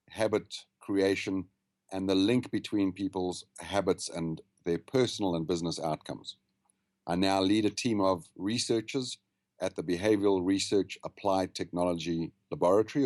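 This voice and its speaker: Man, South African accent, low pitch, dry sound, measured, interview guest. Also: a little mellow, no room sound. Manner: fluent, measured explanations. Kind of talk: podcast interview over a call. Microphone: video call.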